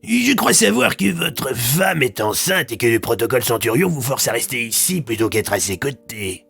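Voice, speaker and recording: An actor playing a scheming, falsely courteous army colonel in an audio drama, coaxing and insinuating. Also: male voice, medium pitch, very animated, moderate pace, gravelly, a bit bright, breathy edge, faint music behind, heavily acted. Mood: coaxing, manipulative. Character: scheming, ambitious male army colonel, slyly coercive. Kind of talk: French audio fiction acting.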